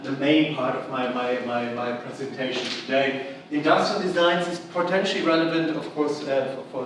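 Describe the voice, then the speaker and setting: A middle-aged man with a German accent gives a chatty conference lecture, full of fillers and restarts. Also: on the high side. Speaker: university lecturer. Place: large conference hall. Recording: echoey hall, voice from far off, background noise.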